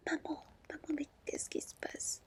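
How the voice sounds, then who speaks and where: A woman's voice: normal speed, a little mellow, natural voice. Woman as a plaintive whispering young girl. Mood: alarmed. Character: distressed young girl pleading with her mother. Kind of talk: whispered ASMR storybook reading.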